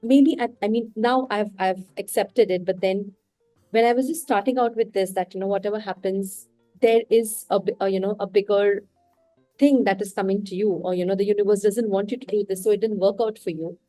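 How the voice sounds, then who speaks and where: Warm-voiced Indian woman, reflective. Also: mid-range voice, steady tone, a little fast, no echo, soft background music, poor audio. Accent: Indian English. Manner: reflective and candid, talks through her thoughts. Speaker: podcast guest. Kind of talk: casual interview conversation.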